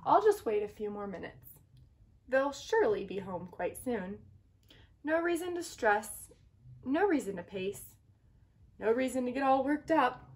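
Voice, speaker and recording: A woman's voice: a little high, unhurried, pauses often. A woman voicing an anxious, bossy young egg in a picture-book read-aloud, fretful and dramatic. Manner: dramatic, fretful, commanding, expressive. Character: anxious, bossy, earnest young egg leading his friends.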